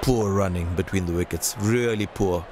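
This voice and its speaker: A man playing an excitable cricket commentator in a video game, calling the play in short scripted lines. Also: sits low, moderate pace, natural voice. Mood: critical. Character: excitable male cricket commentator, full of praise. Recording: loud stadium crowd noise behind. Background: roaring cricket crowd.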